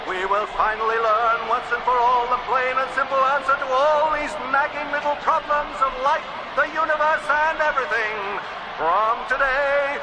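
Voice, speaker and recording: An actor playing a grandiose, rousing public orator in a radio comedy, declaiming ceremonially in a shout. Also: male voice, very high-pitched, heavy background noise.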